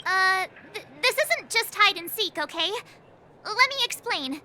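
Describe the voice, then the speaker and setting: A woman voicing a playful, easily flustered young girl in a video game, perky, chatty and expressive. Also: very high-pitched, unhurried, a little hiss or hum, exaggerated voice. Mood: flustered, explaining. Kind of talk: animated video game voice acting.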